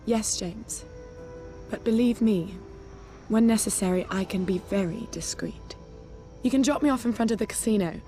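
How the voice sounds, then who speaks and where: A woman's voice: mid-range voice, moderate pace, mellow, natural voice. An actress plays a worldly socialite who spies on the side in a game cutscene. She sounds playful, arch and sure of herself, with music under her lines. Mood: coy, playful. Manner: playful, arch, self-assured. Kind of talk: video game cutscene acting. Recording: music behind the dialogue.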